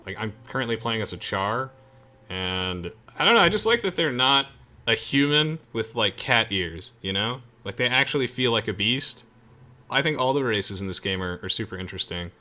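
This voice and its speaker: A man's voice: on the low side, animated, moderate pace, sharp tone. A young man hosting a let's play, chatting casually with friends as he comments on the game. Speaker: host of a let's play video. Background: game music.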